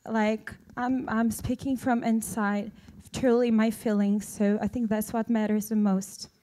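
Young brazilian woman, portuguese accent, kinda high voice, earnest, keeps restarting. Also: level tone, unhurried, dark tone. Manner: earnest, searching for words with frequent restarts. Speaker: actress at a film launch. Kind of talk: press conference answers. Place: indoor launch event stage. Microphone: handheld microphone.

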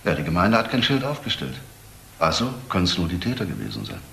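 An actor playing a middle-aged senior detective in a German crime radio play, questioning deliberately and insistently. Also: male voice, low voice, huge pitch swings, vocal fry, fairly bright tone, roomy sound, slight background noise, full character voice. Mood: probing, deliberate.

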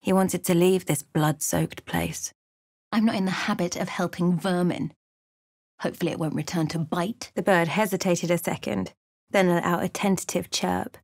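Measured fantasy adventurer, very dry sound. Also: female voice, lowish voice, fairly even intonation, a little mellow, dry recording. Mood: wry, dry. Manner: measured, dramatic storytelling delivery. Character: wry, watchful female fantasy adventurer recounting her journey. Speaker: video game voice actor. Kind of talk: scripted fantasy game narration and dialogue.

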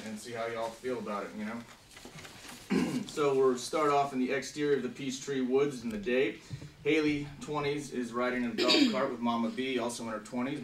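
A man's voice: mid-range voice, a bit bright. Man in a roomy, distant meeting-room recording. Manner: informal, profane. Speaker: film writer-director leading a table read. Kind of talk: casual introduction, then reading script directions aloud. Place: meeting room with a conference table. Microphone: distant camera microphone. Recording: roomy, distant camera mic, background noise.

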